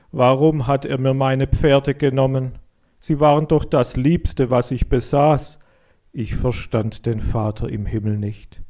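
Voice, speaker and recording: A man narrates a story for children with a soft, mellow tone. His voice sits at a middle pitch, and he reads deliberately and expressively, as a practised storyteller does. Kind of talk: scripted dramatic storytelling reading.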